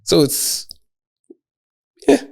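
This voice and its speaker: A man's voice: drawn-out words, darkish tone. A man, a guest rapper from Quebec, talking in a loose, slangy way with frequent swearing and laughter. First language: Haitian Creole. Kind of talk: casual podcast conversation.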